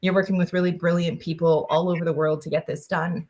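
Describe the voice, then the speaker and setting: Lowish-voiced woman guest, casual and rambling, heavy on fillers. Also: little pitch movement, fast, darkish tone. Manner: chatty, many fillers and restarts. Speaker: guest, engineer. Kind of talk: casual interview answers.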